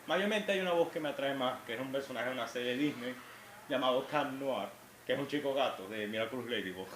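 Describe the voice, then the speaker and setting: A man's voice: medium pitch, fast, fairly bright tone. A young man at a convention Q&A, talking in an earnest, rambling way with restarts. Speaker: fan asking questions. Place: convention hall. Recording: echoey hall with background noise.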